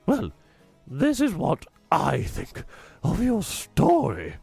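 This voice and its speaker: A man's voice: huge pitch swings, takes it slowly, gravelly, warm tone, husky, soft background music, full character voice. A man voicing a strict, scornful middle-aged mother while reading a children's book aloud. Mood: furious. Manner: shrill, scolding, overbearing.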